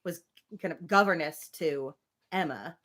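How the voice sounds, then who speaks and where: A woman's voice: deep voice, measured pace, fairly bright tone. A woman who chats casually and reads a novel aloud expressively on a live stream.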